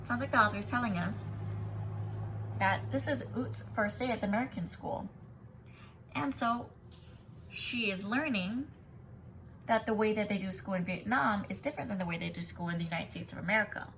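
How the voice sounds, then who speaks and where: A woman teacher reading a children's story expressively and pausing to explain it in an instructive, emphatic way. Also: medium pitch, a bit bright, a little hiss or hum, rough recording. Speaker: kindergarten teacher.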